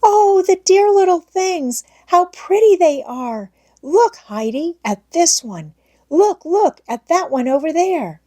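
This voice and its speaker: A woman voicing an eager young girl in a storybook, exclaiming with excitement and delight. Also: very high voice, takes it slowly, voice pushed up, exaggerated voice.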